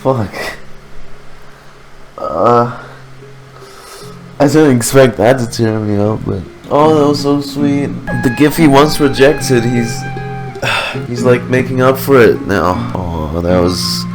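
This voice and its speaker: A man giving casual, sentimental reaction commentary as a streamer, with soft exclamations and some swearing. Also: mid-range voice, slow, pauses often, soft background music, rough recording.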